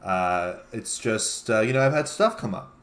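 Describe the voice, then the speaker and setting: A man's voice: fairly low pitch, expressive, moderate pace, fairly bright tone. A man co-hosting a comedic home show, answering dryly and evasively with lots of hesitations. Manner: dry, evasive, hesitant with many fillers. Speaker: co-host. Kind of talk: casual comedic banter.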